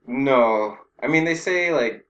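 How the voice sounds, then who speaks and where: A young man chatting casually as an interview guest, peppering his talk with "like" and quick laughing asides. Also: medium pitch, animated, a bit bright, muffled recording. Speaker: guest, Japanese learner working in Japan. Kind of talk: casual conversation.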